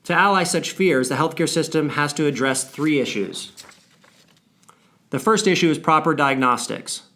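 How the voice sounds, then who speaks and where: A man reading a scripted briefing to clients in a measured, formal way, stating figures and names deliberately. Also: medium pitch, fairly bright tone. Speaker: financial firm presenter on a client briefing call. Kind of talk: scripted briefing read aloud.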